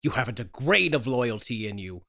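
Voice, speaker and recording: A man's voice: medium pitch, sing-song, moderate pace, bright, forceful tone. A man playing a wronged, desperate husband in a theatrical 1930s radio melodrama reading, overwrought and stammering. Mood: bitter. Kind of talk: 1930s radio horror melodrama table read.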